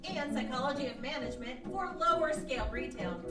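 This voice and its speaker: A woman plays an earnest, satisfied speaker in an old-time radio style show. Her voice is high and carries through an echoey space, with loud music behind it. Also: animated, fairly quick. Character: earnest, satisfied woman giving scripted testimonials. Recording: echoey stage, distant mic, music underneath.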